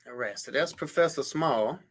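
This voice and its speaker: A man's voice: medium pitch, moderate pace, breathy edge. A man hosting a history show, reading a biography aloud and breaking off into incredulous, mocking commentary on clips. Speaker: show host.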